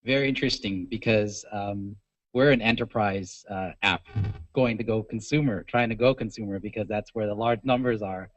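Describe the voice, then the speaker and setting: A man in the seats at a tech conference haltingly putting his question, in a dry, dull recording. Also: fairly low pitch, no echo. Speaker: audience questioner from an app company. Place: conference audience. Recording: muffled, lo-fi event recording.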